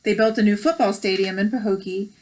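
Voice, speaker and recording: A woman's voice: medium pitch, steady tone, fairly quick, darkish tone. An American woman hosting a read-aloud, reading a picture book with chatty, encouraging asides.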